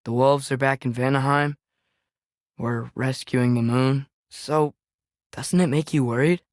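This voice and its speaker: A man's voice: mid-range voice, fairly even intonation, unhurried, no echo, natural voice. Male actor as teenage boy adventurer, earnest, questioning, casual wry manner, natural video game delivery, dry studio sound. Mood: worried, questioning. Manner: casual, curious, wry asides.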